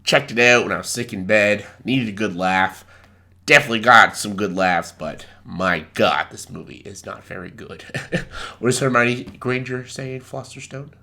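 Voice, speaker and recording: A man's voice: lowish voice, gravelly, bright, forceful tone. A man in his thirties with a cold, congested, giving a blunt, rambling movie review to camera. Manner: blunt and ranting, with quick asides. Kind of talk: casual spoken movie review.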